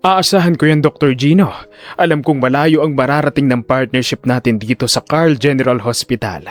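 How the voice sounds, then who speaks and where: A man's voice: medium pitch, a little fast, faint music behind, close to an everyday voice. A man playing a smug older hospital director in an audio drama, avuncular and self-important, putting on benevolence. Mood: smooth, persuasive. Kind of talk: audio drama villain dialogue.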